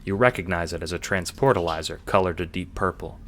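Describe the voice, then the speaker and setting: A man's voice: low-pitched, a little fast, a little hiss or hum. A man reading scripted second-person narration for an audio drama.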